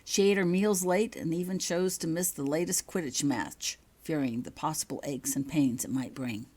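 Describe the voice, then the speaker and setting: A woman's voice: sits low, normal speed, darkish tone, slightly rough. A woman reading her own written fiction aloud as audiobook-style narration.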